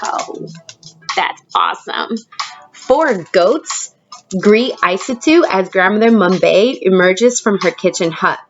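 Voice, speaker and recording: A woman teacher reading a picture book aloud expressively, pausing to prompt young listeners with questions. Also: mid-range voice, expressive, muffled recording, faint music behind. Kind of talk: storybook read-aloud with questions to children.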